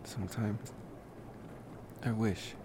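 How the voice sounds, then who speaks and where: A man playing a gentle, wistful college vampire boyfriend in an intimate ASMR roleplay, rambling hesitantly in a soft-spoken way. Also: lowish voice, little pitch movement, slow pace, background noise.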